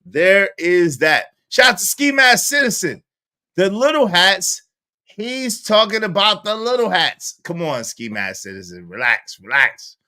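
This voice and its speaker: A man's voice: high voice, expressive, a little slow, sharp tone. A man in his forties hosting a live stream, chatting casually in slang and reading viewer comments aloud. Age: 40s.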